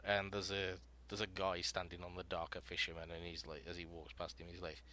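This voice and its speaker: A man hosting a writing podcast panel, explaining prompts and telling scenes out loud in a casual, rambling way. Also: low-pitched, flat delivery, a little fast, slight background noise. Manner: explanatory, storytelling, filler-heavy.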